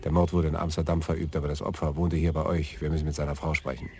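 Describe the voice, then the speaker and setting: Very deep, gravelly detective. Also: male voice, flat delivery, fast talker, warm tone, slight background noise. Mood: matter-of-fact. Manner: matter-of-fact, reporting. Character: plodding, thorough male police detective. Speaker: police detective. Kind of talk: radio drama acting.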